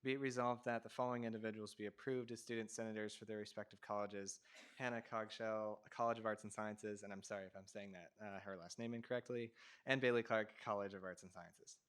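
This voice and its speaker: A man's voice: lowish voice, fairly even intonation, fast, fairly bright tone, clean tone. A young man, a student senator, formally reading a resolution aloud.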